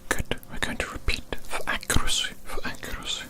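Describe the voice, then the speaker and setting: Soft whispered ASMR hearing-test roleplay. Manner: soft whispering, slow and repetitive, gently encouraging. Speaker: ASMR creator. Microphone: close microphone with headphone-targeted sound.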